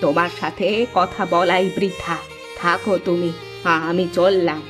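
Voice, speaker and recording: A woman's voice: on the low side, fairly even intonation, unhurried, close to an everyday voice. Cartoon role: careworn village mother, middle-aged, scolding and plaintive played by a woman, music underneath. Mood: scornful. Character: poor hardworking village wife and mother, worried, nagging. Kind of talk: Bengali cartoon drama dubbing.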